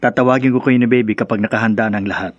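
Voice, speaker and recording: A man's voice: medium pitch, steady tone, rapid-fire, highs cut off, close to an everyday voice. An actor with a Filipino accent playing a doting, sheepish, placating young husband and father in a radio drama. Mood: sheepish, apologetic.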